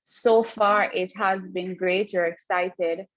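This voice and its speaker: Confident businesswoman, low, dry voice. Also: steady tone, takes it slowly, no echo, rough recording. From Jamaica. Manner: confident, promotional. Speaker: company CEO. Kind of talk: business pitch on a video call. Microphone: video call.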